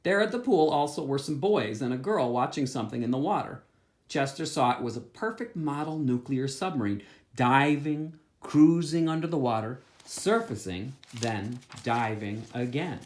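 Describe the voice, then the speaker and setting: A man's voice: mid-range voice, moderate pace. A pastor reading a children's book aloud, telling it expressively and asking his young listeners questions. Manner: expressive storytelling with asides to listeners.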